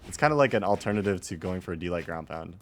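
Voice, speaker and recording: A man's voice: sits low, lively intonation, fast talker, slight background noise. A man giving casual, off-the-cuff commentary on his own game replay in a rambling, wry and self-deprecating way.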